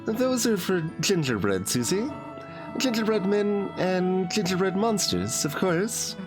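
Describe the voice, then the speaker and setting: Game role: kind, firm monster mum, man acting, lifted pitch, slow delivery, music bed. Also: sing-song. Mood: gentle, explaining.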